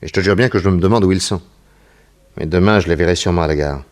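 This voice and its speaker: An actor playing a shrewd, self-assured undercover detective in a 1960s French radio serial, with a brisk, conversational, commanding way of talking. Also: male voice, deep voice, natural voice. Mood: confident, instructing.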